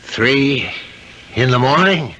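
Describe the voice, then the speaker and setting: An actor playing a weary, self-reproachful businessman father in a radio drama, halting and deliberate. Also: medium pitch, sing-song, a bit bright, a little hiss or hum, low-quality recording. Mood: weary, troubled. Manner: halting, deliberate, repeats himself. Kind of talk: 1970s radio drama acting.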